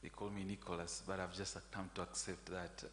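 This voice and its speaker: A man's voice: sits low, little pitch movement, a little fast, fairly bright tone. A man from Tanzania giving a friendly academic panel talk, hesitant with fillers. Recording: echoey hall with faint hum.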